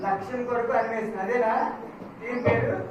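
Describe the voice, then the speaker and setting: A host talking playfully with puppets, asking questions and gently admonishing them. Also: medium pitch, fairly even intonation, moderate pace. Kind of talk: playful scripted dialogue with puppets. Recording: very echoey, distant mic, noisy room.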